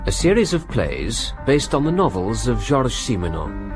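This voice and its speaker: A male announcer introduces a radio play with scripted credits. His medium-pitched voice moves with animated intonation over clearly audible music. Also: moderate pace. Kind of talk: scripted announcement of title and cast.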